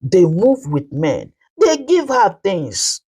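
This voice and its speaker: A woman's voice: lowish voice, huge pitch swings, slow, mellow, husky, voice pushed up, exaggerated voice. A woman voicing a worried, observant teenage schoolgirl in a story, earnest and confiding.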